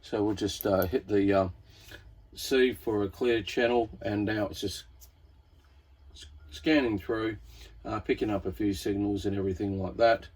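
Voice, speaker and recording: An Australian man with a low-pitched, somewhat rough and husky voice. He talks like a hobbyist showing gear to viewers, informal and laid-back, feeling his way through the explanation as he goes. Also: steady tone, a little slow, pauses often, a little mellow. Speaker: radio hobbyist presenter. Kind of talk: casual product walkthrough.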